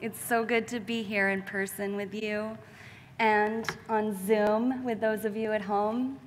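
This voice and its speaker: A woman in her thirties giving a graduate's commencement speech at a podium, slow, heartfelt and reflective. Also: mid-range voice, flat delivery, a little hiss or hum. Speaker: graduating student speaker. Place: large church sanctuary at a podium. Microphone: podium mic, far camera. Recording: long hall reverb.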